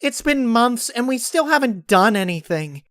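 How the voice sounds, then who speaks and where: An excitable young female pony, voiced by a man, speaks in a very high, strained voice. She is impatient and pleading, pushing every phrase out with emphasis, like a storybook character at the end of her patience. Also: moderate pace, dry recording, clean studio sound, heavily acted. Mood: frustrated, urgent.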